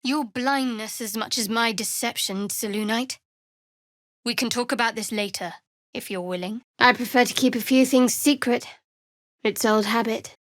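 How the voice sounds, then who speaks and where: A woman playing a guarded, sardonic young female cleric of a dark goddess in a video game, speaking in a measured, wry way. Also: on the high side, no echo, clean studio sound, close to an everyday voice. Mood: cool, restrained.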